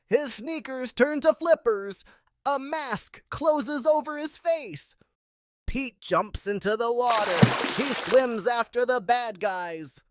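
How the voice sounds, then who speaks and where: A woman reading a children's picture book aloud in simple, expressive narration. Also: high voice, no room sound, studio quality. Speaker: read-aloud storyteller.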